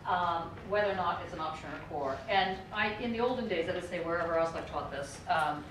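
A woman's voice: fairly low pitch, fairly quick. A middle-aged woman giving a university lecture in a conversational, wry manner, circling back to her points. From United States. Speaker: professor. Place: lecture room. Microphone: room mic far from speaker. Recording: echoey room, distant mic, background noise.

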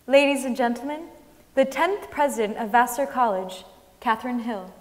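A woman's voice: fairly high pitch, moderate pace, background noise. A woman giving a scripted dramatic reading on the stage of a large auditorium. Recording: long hall reverb.

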